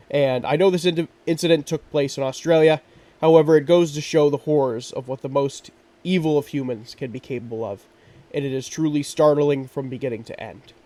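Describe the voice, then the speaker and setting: Male true crime podcast host with a congested, stuffy voice; earnest, halting delivery. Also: a little high, mellow, recorded from a distance. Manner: earnest, with frequent ums and restarts.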